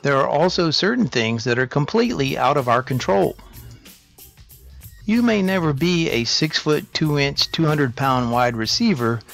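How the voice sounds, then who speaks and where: Male narrator, slightly high-pitched, for a motivational script; measured and didactic, with light music underneath. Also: creaky, fairly bright tone. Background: background music.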